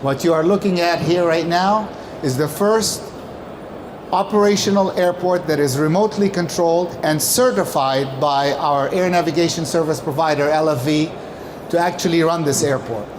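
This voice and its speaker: A man's voice: a little high, moderate pace, noisy background. A man giving a prepared presentation as a company speaker, explaining emphatically and posing questions that he then answers. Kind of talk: prepared conference-style presentation talk.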